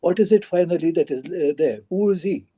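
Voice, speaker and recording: A man speaking in a halting, rambling way in an informal discussion. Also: high voice, mellow, husky. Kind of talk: informal book club discussion.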